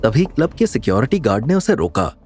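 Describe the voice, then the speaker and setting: A man narrating a scripted drama story in an expressive storytelling style. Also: medium pitch, a little fast.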